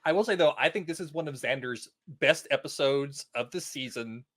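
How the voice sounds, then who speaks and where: A man's voice: a little high, moderate pace, a bit bright. A man speaking as a podcast guest in a casual discussion. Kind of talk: casual chat, TV episode discussion.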